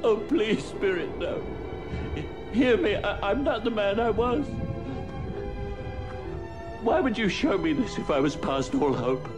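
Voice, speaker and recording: A man's voice: high voice, animated, slow, long pauses, over music. A man playing an emotional old miser in a theatrical storybook drama. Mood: pleading.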